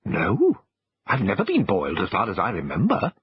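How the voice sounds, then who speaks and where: A man's voice: fairly low pitch, huge pitch swings, normal speed, husky, no room sound, dull audio, exaggerated voice. A man voicing an indignant, petulant little toy dog in an English accent for a storybook reading. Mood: huffy. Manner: petulant, emphatic.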